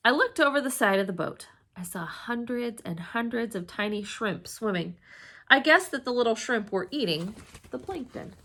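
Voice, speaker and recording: Female storybook reader, slightly high pitch, swooping expressive delivery, dramatic emphasis for young listeners. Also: normal speed. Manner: dramatic, with emphatic exclamations and hushed suspense. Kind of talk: expressive children's read-aloud narration.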